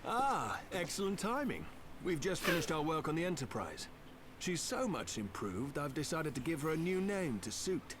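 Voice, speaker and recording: An actor voicing a showy, eager airship engineer in a video game, theatrical and enthusiastic. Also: male voice, on the high side, sing-song, normal speed. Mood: proud, eager. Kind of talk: animated game voice acting. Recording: noisy game stream capture.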